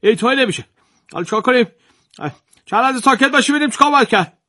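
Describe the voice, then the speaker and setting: A man's voice: very high voice, expressive, pushed and bright, no room sound, studio quality, pitched above the performer's own voice, exaggerated voice. A man voicing a pompous landowner who talks in an agitated, raised voice. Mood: flustered. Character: fussy, pompous landowner man, quick to panic.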